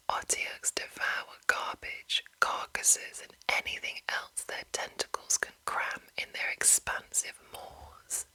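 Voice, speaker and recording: Slow, whispering woman, breathy ASMR. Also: sharp tone, low-quality recording. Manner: slow, deliberate, pausing between short phrases. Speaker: host reading a game rulebook. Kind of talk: whispered ASMR reading and commentary.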